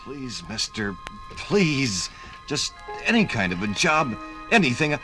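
Animated 1950s radio working man. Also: mid-range voice, slow pace, music in the background. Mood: desperate, pleading. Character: working man, by turns resolute and desperate. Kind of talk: 1950s radio drama acting.

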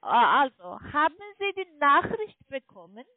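High-voiced foreign-accented woman on phone. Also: sing-song, no room sound. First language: not German. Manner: halting, non-native phrasing. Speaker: call centre agent. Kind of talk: phone call, instructing a customer. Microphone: telephone. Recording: tinny narrowband phone line.

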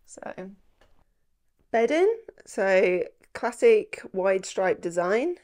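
A woman's voice: medium pitch, big pitch swings, extremely slow, darkish tone. A woman, chatting casually to camera about her shopping, a little nasal from a starting cold, rambling with lots of "like" and "um". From England. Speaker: lifestyle vlogger. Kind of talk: casual haul chat to camera. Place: small indoor room at home.

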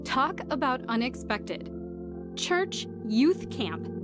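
Woman acting a rebellious teen girl in a radio drama, kinda high voice, guarded, music behind her. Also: lightly acted. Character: rebellious, guarded teenage girl, narrating her own past. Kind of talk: dramatized first-person narration, radio drama.

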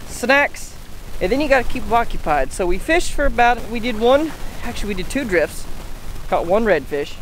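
A man's voice: extremely high pitch, very animated, normal speed, a bit bright, slight background noise, poor audio. A man, talking casually to camera as a fishing vlogger. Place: outdoors by the water at a beach, later a garage. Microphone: camera held at arm's length, later clip-on lavalier.

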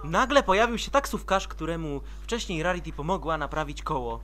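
A man's voice: on the high side, expressive, brisk, fairly bright tone. A man reading scripted story narration for an amateur comic dub.

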